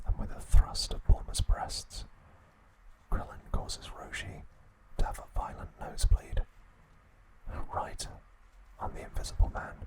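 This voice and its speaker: Whispering man narrating softly for ASMR. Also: a little slow, rough recording. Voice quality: breathy unvoiced whisper. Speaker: host of an ASMR trivia channel.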